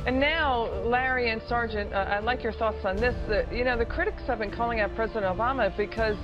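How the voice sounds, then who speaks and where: A woman's voice: fairly high pitch, a little fast. An American woman hosting a broadcast news interview and putting questions to guests. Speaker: TV news host. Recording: muffled broadcast audio with loud music. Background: music.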